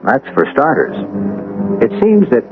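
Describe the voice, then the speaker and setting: A man's voice: medium pitch, huge pitch swings, a little slow, narrow, tinny audio. Music plays behind a man on a thin old radio broadcast. He narrates as host with wry, dramatic deliberation, his intonation swinging very widely from phrase to phrase. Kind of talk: theatrical scripted radio narration.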